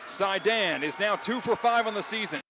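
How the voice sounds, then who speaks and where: High-pitched American man calling football play-by-play. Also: moderate pace. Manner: emphatic, dramatic calls. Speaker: play-by-play announcer. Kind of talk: live American football radio play-by-play commentary. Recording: clear outdoor stadium noise behind. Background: outdoor stadium ambience.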